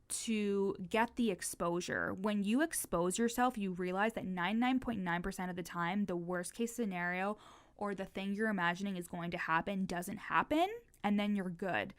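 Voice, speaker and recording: A young woman in her twenties hosting a casual solo podcast, who has a stutter, swears freely and fills her speech with "like". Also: mid-range voice. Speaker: podcast host and business coach.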